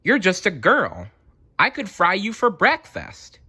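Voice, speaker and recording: A storybook dragon, played by a man, gentle at heart and prone to sobbing. The voice climbs high and swings widely, with emotional, exclamatory delivery that aches to be loved. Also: huge pitch swings, measured pace, breathy edge, full character voice. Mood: amazed. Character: tearful, gentle male dragon longing for love. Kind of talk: storybook character voice.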